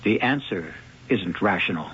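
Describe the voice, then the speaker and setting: A man narrating a 1970s radio mystery drama in a measured, ominous storytelling manner. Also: low voice, expressive, fairly bright tone, slightly rough, heavily acted. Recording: lo-fi old radio broadcast with music.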